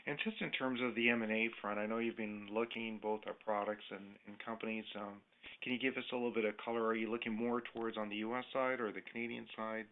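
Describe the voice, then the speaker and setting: A man's voice: on the low side, fairly even intonation, fast talker. A male analyst caller asking informal questions on an investor call. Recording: noisy tinny phone line with clicks.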